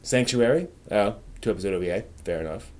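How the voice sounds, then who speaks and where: Halting male live-stream host, thinking aloud. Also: fairly low pitch, expressive, a little mellow. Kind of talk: casual live-stream chat about anime, answering viewers' comments.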